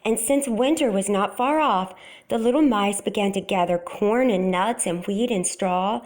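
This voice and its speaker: A woman storyteller reading a children's picture book aloud in a deliberate, expressive way. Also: mid-range voice.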